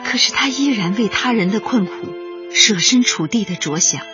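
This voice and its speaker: A woman's voice: medium pitch, animated, normal speed. A woman giving solemn, admiring, lyrical scripted narration as a drama narrator. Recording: slightly muffled, music behind.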